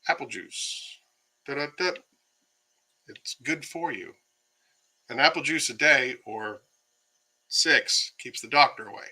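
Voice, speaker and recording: Man losing his voice, bright tone. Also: medium pitch, expressive, slow pace, pauses often, breathy edge. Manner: rambling, many fillers, greets viewers by name. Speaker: livestream host. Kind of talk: casual livestream chat. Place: home, by himself.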